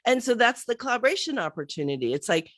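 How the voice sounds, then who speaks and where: A middle-aged woman with a cold, hoarse and a bit scratchy, chatting animatedly as a podcast guest with many fillers. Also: fairly high pitch, fast, no room sound, studio quality. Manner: animated, thinks aloud with many fillers and restarts. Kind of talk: casual interview chat.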